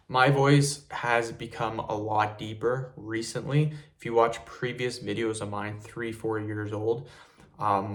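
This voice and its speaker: A young man hosting a casual tutorial video to camera, relaxed and repetitive, often calling viewers "you guys". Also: fairly low pitch. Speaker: fitness video host.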